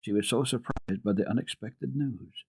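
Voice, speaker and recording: A man giving an expressive, careful dramatic reading of classic children's literature as a radio storyteller. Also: mid-range voice, moderate pace, slightly rough, poor audio.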